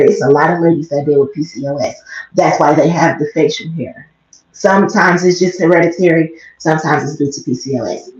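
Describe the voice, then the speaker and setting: An American woman with a slightly raspy voice, talking quietly and casually in a rambling vlog, recorded on a headset mic in a small bathroom. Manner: low-key, talking quietly. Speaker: vlogger livestreamer. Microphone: headset mic close to mouth. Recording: muffled, echoey small room.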